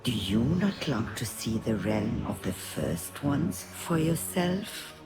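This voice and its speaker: A woman playing a sly, elusive broker in a video game cutscene, speaking in a smooth, insinuating, measured way. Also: a bass voice, sing-song, full character voice. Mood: probing, coaxing. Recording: music behind the voice. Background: game score music.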